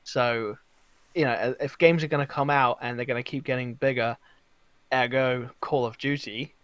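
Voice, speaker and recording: A man's voice: mid-range voice, fairly even intonation, moderate pace, fairly bright tone, no room sound. A man chatting casually as a podcast guest, rambling a little and feeling for his words. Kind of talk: casual chat.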